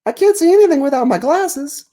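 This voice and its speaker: A man voicing an indignant female catfish with shrill exclamations, for a children's picture book. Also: animated, fairly quick, warm tone. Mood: puzzled, apologetic. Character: indignant female catfish, short-sighted friend.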